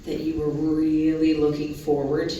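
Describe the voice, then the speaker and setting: A woman's voice: deep voice, completely flat delivery, unhurried. A woman moderating a convention panel, asking questions with gushing enthusiasm. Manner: enthusiastic, gushing, laughs easily. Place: large convention hall. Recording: echoey distant hall recording with noise.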